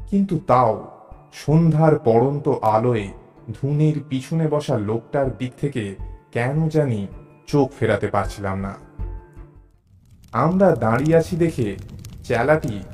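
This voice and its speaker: A man's voice: mid-range voice, a little mellow. Man narrating a suspense story. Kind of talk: dramatic first-person suspense story narration.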